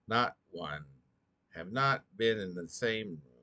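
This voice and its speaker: A man with a low voice reads poetry aloud as its author. He sounds sleepy and goes deliberately, often halting, stumbling over a word or repeating a phrase. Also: expressive, sharp tone. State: drowsy, short on sleep. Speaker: poet reading own work. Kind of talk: slow, halting poetry reading.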